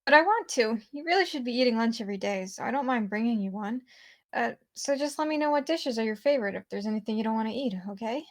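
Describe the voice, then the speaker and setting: A woman acts out an eager, lovestruck teenage schoolgirl from a visual novel. Her voice is on the high side, and she talks with nervous determination. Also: lively intonation, fast.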